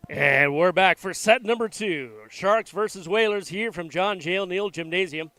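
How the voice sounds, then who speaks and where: A man's voice: sits high, normal speed, bright, forceful tone. A man with a congested voice, just over a flu, giving easygoing live commentary on a school volleyball game from a gymnasium. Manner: easygoing, self-deprecating. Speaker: play-by-play announcer and station manager.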